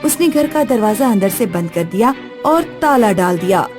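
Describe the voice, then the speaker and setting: A woman's voice: mid-range voice, animated, moderate pace, over music. A woman narrating a scripted story as a storyteller.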